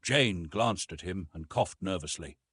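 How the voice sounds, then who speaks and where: Male audiobook narrator, mid-pitched, dramatic storytelling with wide swings, close dry recording. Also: lively intonation, measured pace, a bit bright, slightly rough, no echo. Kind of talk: dramatic scripted narration of an adventure novel.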